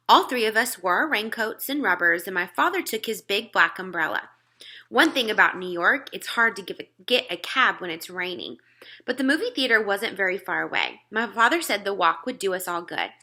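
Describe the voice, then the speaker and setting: Bright-voiced woman reading a storybook. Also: mid-range voice. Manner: acts out dialogue. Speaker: read-aloud narrator. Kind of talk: expressive children's storybook narration.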